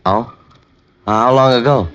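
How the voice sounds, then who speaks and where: A man's voice: medium pitch, normal speed, a bit bright, no echo, lightly acted. An actor playing an evasive, glib male stranger with a testy edge in a 1950s radio drama. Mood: feigned casual. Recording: dull lo-fi old radio recording.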